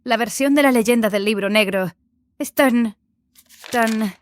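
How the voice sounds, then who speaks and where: A woman's voice: fairly high pitch, measured pace, dry recording, natural voice. A woman playing an inquisitive, defiant young adept in an audiobook drama, earnest and questioning. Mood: defiant, doubtful.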